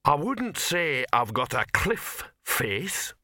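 A man voicing a slow, easily offended male troll made of rock, with a ponderous rumbling delivery. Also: sing-song, bright, forceful tone, no room sound, studio quality, full character voice. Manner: ponderous, slow to digest things.

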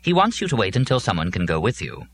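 A man's voice: lowish voice, huge pitch swings, quick pace, fairly bright tone, a little hiss or hum. A man voicing a competent, firmly polite female secretary in a science fiction audiobook, precise and formal.

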